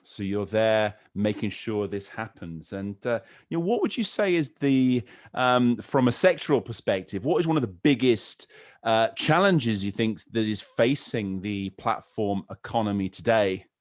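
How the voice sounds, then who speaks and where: A man's voice: lowish voice, expressive, measured pace. A man from a Nordic country hosting a podcast interview, asking long, rambling questions full of repeated words. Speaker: podcast host.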